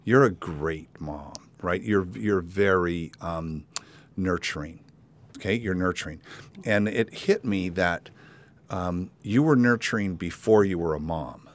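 A man's voice: deep voice, unhurried. A man hosting a conversational interview show, reflective and probing as he thinks aloud. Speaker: podcast host.

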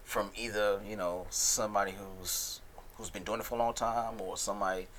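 A man's voice: on the low side, fairly even intonation, moderate pace, fairly bright tone, slight background noise. A man hosting a podcast, interviewing a comedian in a laid-back, casual way. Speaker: podcast host.

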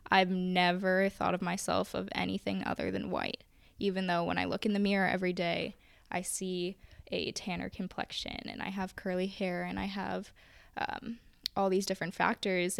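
Young American woman, very creaky voice. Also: mid-range voice, no echo, studio quality. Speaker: podcast guest. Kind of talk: casual personal recollection.

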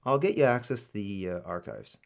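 A man's voice: fairly low pitch, sing-song, a little fast, vocal fry. A man acting in a radio play as a businesslike, wry government official helping an investigation.

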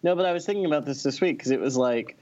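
A man's voice: medium pitch, racing, darkish tone, highs cut off. A man talking casually with friends as a podcast co-host, with a wry, self-deprecating manner and some swearing. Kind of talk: casual chat among friends.

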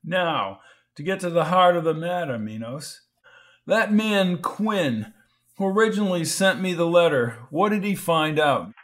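A man's voice: on the high side, lively intonation, moderate pace, fairly bright tone. A man voicing a nervous young male doctor who talks in an urgent, agitated, pressing way. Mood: frantic.

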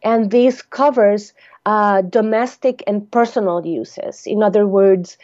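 A woman from Costa Rica, an anthropologist answering interview questions in a thoughtful, measured way with many fillers and restarts. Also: mid-range voice, darkish tone, no echo, lo-fi sound. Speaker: anthropologist guest.

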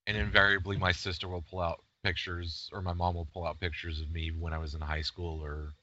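A middle-aged man chatting casually and jokingly as host of a sports podcast, with an animated, self-deprecating manner. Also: deep voice, a little fast. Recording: dull, lo-fi voice recording.

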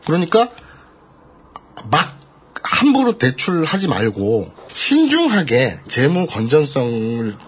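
A middle-aged man in casual talk, explaining debt matters and telling stories with rough slang and frequent laughs. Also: fairly high pitch, slow. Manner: animated storytelling with bursts of laughter and rough slang. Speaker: guest explaining debt collection. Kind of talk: casual podcast chat.

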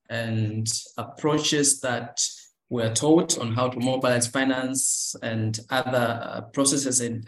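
Male interviewee, Tanzanian accent, mid-range voice, explanatory and repetitive on key points, recorded with noticeable room echo. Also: medium pitch. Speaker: interview guest, course participant. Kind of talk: spoken interview answers. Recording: echoey room sound.

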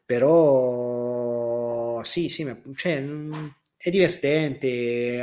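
A man chatting casually as a co-host, telling stories emphatically, repeating himself and swearing freely. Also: medium pitch. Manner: animated storytelling, swearing, emphatic repetition. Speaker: co-host of a livestream talk show. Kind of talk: casual chat.

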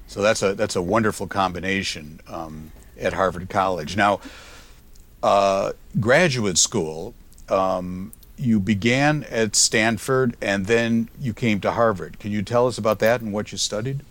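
A middle-aged man with a fairly low voice hosts an academic podcast. He opens with a measured, scripted introduction and then moves into easy conversational questions with his guest. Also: fairly bright tone. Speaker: podcast host, professor. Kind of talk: formal podcast interview.

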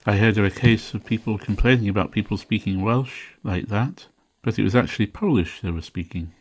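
A man from England hosting a podcast, explaining points one by one in a measured, explanatory way. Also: on the low side, slightly rough. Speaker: podcast host. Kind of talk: solo educational podcast talk.

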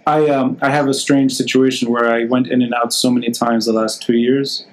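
A man's voice: mid-range voice, flat delivery, normal speed, poor audio. Informal man hosting a live podcast. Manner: informal, hesitant, thinking aloud. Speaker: podcast host. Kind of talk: unscripted live interview, casual chat. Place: remote live video call.

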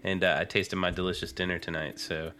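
A man's voice: sits low, flat delivery, fairly quick, bright, forceful tone. A man co-hosting a podcast in casual, dude-peppered chat, sounding nasal and congested from a cold. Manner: informal, peppers talk with "dude". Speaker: podcast co-host. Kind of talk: casual chat about motorcycle racing.

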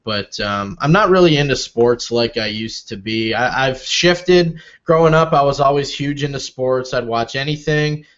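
Relaxed man in his thirties, muffled. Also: medium pitch, normal speed, few pauses. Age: 30s. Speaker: interview guest. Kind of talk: casual interview talk.

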